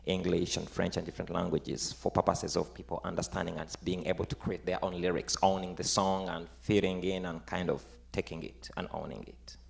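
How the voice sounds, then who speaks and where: Male guest musician, Ugandan accent, Luganda mother tongue, low pitch with heavy gravel, explanatory delivery. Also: little pitch movement, fairly quick, few pauses. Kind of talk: explanatory talk on music and tradition.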